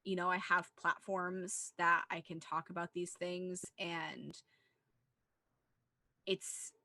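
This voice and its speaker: A woman's voice: fairly low pitch, flat delivery, slow, sharp tone, dry recording. A woman co-hosting a casual movie discussion, talking in an earnest, rambling way with plenty of "like". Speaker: podcast co-host.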